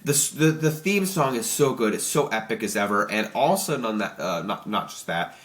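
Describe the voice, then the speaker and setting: A young man with a stuffy, slightly nasal voice from allergies, rambling excitedly through a casual review with many restarts. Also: on the low side, moderate pace. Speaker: YouTube reviewer.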